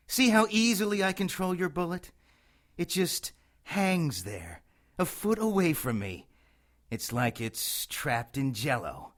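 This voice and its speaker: Theatrical, sharp-voiced witch villain, wildly swooping. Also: mid-range voice. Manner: theatrical, sinister, drawn-out taunting. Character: vengeful, arrogant male witch villain, menacing and taunting.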